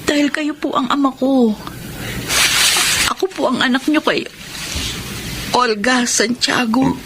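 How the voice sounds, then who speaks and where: An actress playing a headstrong, discontented young daughter in a Filipino radio drama. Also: female voice, sits high, takes it slowly, background noise. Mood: timid, pleading.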